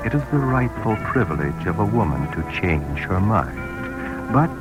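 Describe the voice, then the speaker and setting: An actor playing an ominous vintage radio drama narrator, speaking with grave, portentous phrasing and theatrical pauses. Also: male voice, low voice, big pitch swings, poor audio. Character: solemn, ominous male radio mystery narrator. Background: music.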